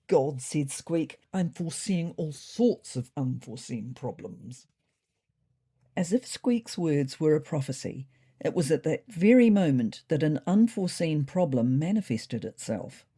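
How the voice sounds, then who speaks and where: A narrator reading a scripted first-person story in an expressive storytelling manner. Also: mid-range voice.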